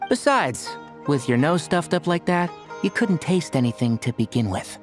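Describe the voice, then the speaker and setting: A man's voice: a little high, very animated, normal speed, faint music behind. A man voicing a young, sensible forest ranger doctor who speaks in a dry, wry way in a game cutscene.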